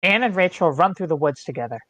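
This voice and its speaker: Measured stage-directions reader, dry sound. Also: medium pitch, lively intonation, a bit bright, dry recording. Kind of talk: scripted narration. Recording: online meeting, close and dry.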